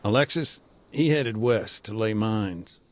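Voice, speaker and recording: A middle-aged man voicing a frank former combat engineer turned demining worker, measured and matter-of-fact in a game cutscene. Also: lowish voice, animated, a little hiss or hum. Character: weary male ex-soldier turned deminer, frank. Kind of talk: voiced game cutscene dialogue.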